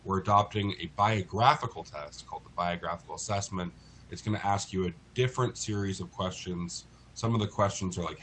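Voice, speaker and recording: An American man speaking as an interview guest, explaining things in a measured, articulate way. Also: low-pitched, slight background noise, poor audio. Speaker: interview guest, lawyer in a class action.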